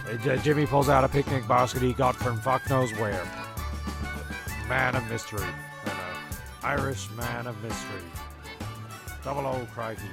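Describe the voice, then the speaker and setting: A man acts as an exasperated, rambling narrator of a comedy radio play. His gravelly, mid-pitched voice stammers and rants with deadpan asides over prominent music. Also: lightly acted. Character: exasperated, sweary, rambling narrator of island castaways.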